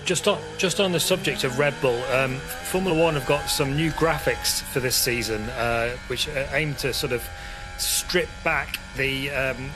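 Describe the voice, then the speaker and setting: A man's voice: medium pitch, measured pace, music in the background. A man talking live on a motorsport broadcast in hesitant phrases.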